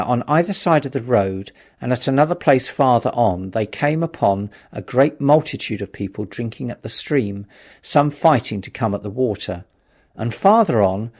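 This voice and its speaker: A male audiobook narrator with a mid-pitched voice reads a classic novel. His delivery is scripted, literary and measured, with a dramatic storytelling feel.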